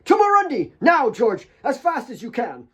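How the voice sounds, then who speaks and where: A man's voice: very high voice, huge pitch swings, normal speed, bright, forceful tone, husky, pitched above the performer's own voice, heavily acted. A man voicing a big, bossy woman who bellows urgent commands in an audiobook. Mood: urgent, furious.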